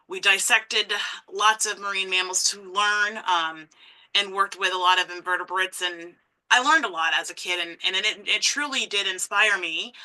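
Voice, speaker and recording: Bright-voiced woman telling her story. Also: medium pitch, low-quality recording. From Massachusetts, USA. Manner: warm storytelling with frequent ums and restarts. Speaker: conservation director and speaker. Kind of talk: personal talk to an audience.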